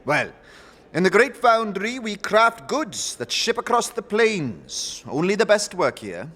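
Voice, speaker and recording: Man playing hearty, animated older faction leader. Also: sits high, in a large hall, voice pushed up, full character voice. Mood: genial, boastful. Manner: grand, expansive, theatrical, drawn-out phrasing. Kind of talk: fantasy audio drama acting.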